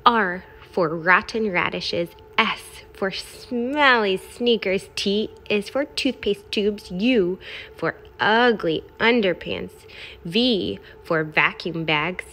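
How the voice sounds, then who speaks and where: A brash garbage truck from a picture book, acted out by a woman, proud of being smelly. It speaks in punchy, exclamatory phrases at a slow, deliberate pace, with a medium-pitched voice. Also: pushed and bright, exaggerated voice. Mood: gleeful. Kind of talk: expressive children's picture-book read-aloud.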